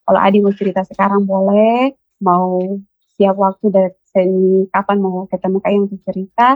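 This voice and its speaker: A woman with a Kupang accent, a children's mentor, explaining slowly and hesitantly as a guest on a radio talk show. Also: mid-range voice, little pitch movement, no echo, phone-quality sound. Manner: slow, explanatory, with many hesitations.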